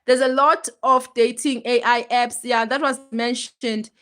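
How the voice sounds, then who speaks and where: A woman's voice: fairly high pitch, fairly even intonation, measured pace, fairly bright tone. A woman, hosting a casual livestream and talking straight to her viewers in an emphatic, chatty way. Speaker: livestream host.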